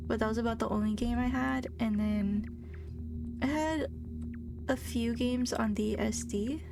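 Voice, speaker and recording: A young woman streamer in college chatting casually while playing a game, soft-spoken and fairly monotone. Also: a little high, a little slow, gravelly. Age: young adult. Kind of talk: casual live-stream chat while gaming. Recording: game music playing behind. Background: game music.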